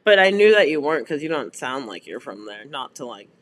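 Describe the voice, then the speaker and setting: A man's voice: mid-range voice, very animated, a little fast, fairly bright tone, a little hiss or hum. A man co-hosting a casual podcast chat, telling stories and laughing often. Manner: laughing, storytelling. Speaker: podcast co-host.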